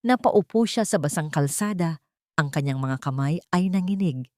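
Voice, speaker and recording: A woman's voice: sits low, expressive, moderate pace, darkish tone, no room sound, studio quality. A woman reading a scripted dramatic story as narrator.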